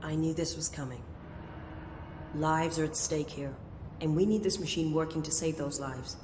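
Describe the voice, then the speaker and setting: An actress playing a brisk, commanding woman scientist in a game. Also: deep voice, steady tone, background noise, close to an everyday voice. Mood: firm. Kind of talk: game voice acting.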